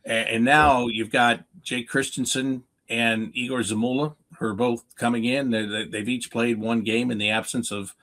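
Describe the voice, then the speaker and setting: A man with a North American accent and a mid-pitched voice talks sports off the cuff as a guest analyst. He is emphatic, asking questions and then answering them himself. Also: steady tone, moderate pace, few pauses, fairly bright tone, poor audio. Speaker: hockey play-by-play announcer as guest analyst. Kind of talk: unscripted sports talk, analysis.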